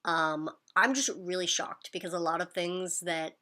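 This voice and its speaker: A woman's voice: fairly low pitch, steady tone, normal speed, sharp tone. Chatty woman vlogging to camera. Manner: chatty, loose, heavy on "like" and "um". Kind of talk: casual to-camera vlog chat.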